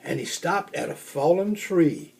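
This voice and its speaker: Male storybook reader: expressive, creaky, slow, telling a tale for young children. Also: high-pitched. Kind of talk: children's story read-aloud.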